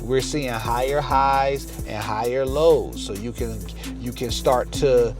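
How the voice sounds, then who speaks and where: A man hosting an explanatory lesson, reading material aloud and commenting informally, emphatically repeating key phrases and speaking straight to viewers. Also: medium pitch. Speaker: trading educator, channel host.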